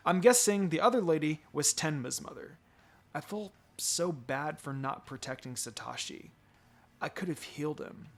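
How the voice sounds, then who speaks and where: Higher-pitched, animated male story reader. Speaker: fan fiction reader. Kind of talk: dramatic first-person story narration.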